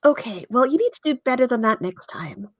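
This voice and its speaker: A woman plays a good witch in a tabletop game. She is brisk and bossy yet caring, with a fairly high voice and a dry, echo-free sound. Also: big pitch swings. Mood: bossy, exasperated. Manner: brisk, scolding, reassuring.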